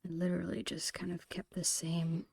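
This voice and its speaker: A woman's voice: fairly low pitch, completely flat delivery, moderate pace, darkish tone, no room sound, clean studio sound. A woman whispering in an ASMR style, casually thinking aloud in short phrases as she narrates her own digital painting. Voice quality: breathy whisper.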